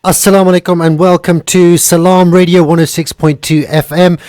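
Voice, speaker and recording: A man hosting a live community radio show in a studio, talking in a lively, hurried, upbeat way. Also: high voice. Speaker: radio host.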